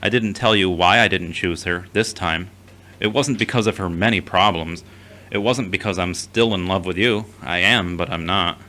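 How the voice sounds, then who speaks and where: A man's voice: low-pitched, moderate pace, pushed and bright, slight background noise. A man playing a conflicted, earnest soul who wrestles with God and himself in an audio drama. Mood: guarded, dry. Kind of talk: scripted audio drama acting.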